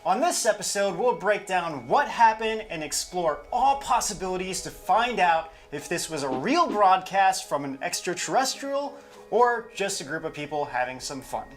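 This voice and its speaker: A woman co-hosting a show in a casual, expressive, semi-scripted conversational style. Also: on the low side, normal speed, soft background music. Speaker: co-host.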